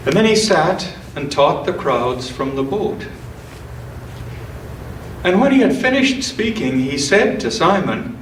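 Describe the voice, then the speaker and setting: Slow-paced man reading in a roomy church. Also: medium pitch. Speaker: church scripture and poetry reader. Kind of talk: church reading with informal commentary. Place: church, speaking to a congregation. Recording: roomy, distant mic, faint noise.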